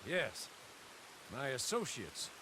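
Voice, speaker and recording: An actor playing a composed, inventive gentleman in a western game, speaking in a dry, understated, clipped way. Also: medium pitch, huge pitch swings, slow pace. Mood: dry, confident. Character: composed, inventive gentleman ally in the Old West. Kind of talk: dramatic game cutscene acting. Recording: clear rain noise behind. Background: rain.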